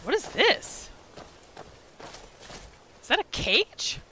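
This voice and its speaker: A woman's voice: very high voice, big pitch swings, very slow, heavy background noise. A woman giving reactive gameplay commentary on a roleplay, full of exclamations and rhetorical questions. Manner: exclaims, asks rhetorical questions, speaks for the character. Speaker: gamer narrating a roleplay playthrough. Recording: game music behind the voice. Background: video game music and sounds.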